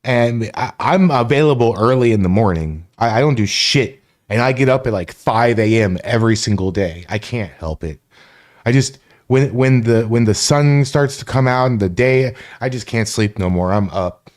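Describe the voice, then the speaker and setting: A man's voice: mid-range voice, normal speed. A man from Florida hosting a casual live stream, rambling, greeting chat viewers by name and swearing freely. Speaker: livestream host.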